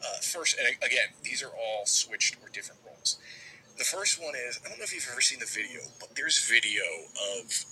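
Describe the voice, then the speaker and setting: A man with a very bright, forceful voice talks in a halting, rambling way, as if leaving a casual voicemail. He repeats words as he goes, and there is faint noise on the recording. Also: medium pitch, animated. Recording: noisy amateur voicemail recording.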